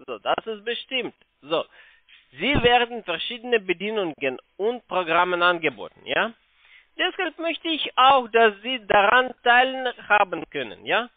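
A man with a foreign accent, not a native speaker, making a halting phone sales pitch with frequent tag questions. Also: dry recording. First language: not German. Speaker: telephone sales caller pitching investments. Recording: narrow tinny phone line.

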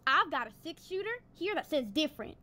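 A woman's voice: high voice, lively intonation, fairly quick, sharp tone. A woman playing a suspicious, folksy, commanding female sheriff in a 1940s-style radio drama. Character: gruff, suspicious armed female country sheriff.

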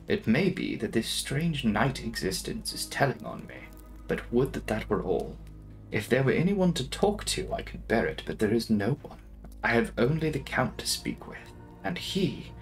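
A young man giving a dramatic, brooding reading of a gothic novel, with theatrical, deliberate phrasing. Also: on the low side. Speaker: audiobook narrator.

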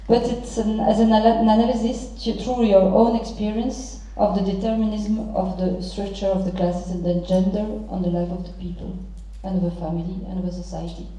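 A middle-aged woman moderating a panel, introducing guests and posing questions formally in halting, deliberate phrases. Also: medium pitch, flat delivery, mellow. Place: large theatre stage. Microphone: handheld microphone through hall speakers. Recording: very echoey theatre PA, distant, muffled.

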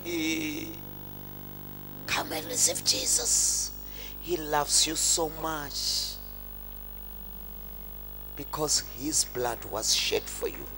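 A woman's voice: deep voice, very slow, long pauses, background noise. A middle-aged woman pastor making an altar call on an outdoor stadium stage, repeating pleas to come forward into a handheld mic. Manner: pleading, repetitive calls to come forward. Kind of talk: altar call sermon with interpreter.

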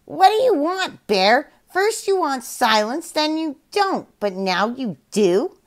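A woman's voice: high voice, big pitch swings, takes it slowly, pitched above the performer's own voice, exaggerated voice. A woman voicing a plump, silly red parrot that squawks and mimics every word in a storybook tale. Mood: wise.

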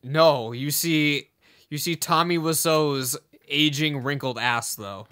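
Young male co-host with a bright, slightly high voice; quick, joking, swears freely. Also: clear, resonant tone. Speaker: podcast co-host. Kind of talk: casual chat. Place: room with shelves of movies and comics.

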